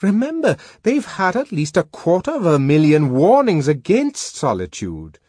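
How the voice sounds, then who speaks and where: A man's voice: on the high side, huge pitch swings, normal speed, a little mellow, husky, dry recording, clean studio sound, pitched above the performer's own voice, heavily acted. A man playing an anxious, disapproving male friend in an audiobook, strained and emphatic in his reproach. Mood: reproachful. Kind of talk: audiobook character dialogue.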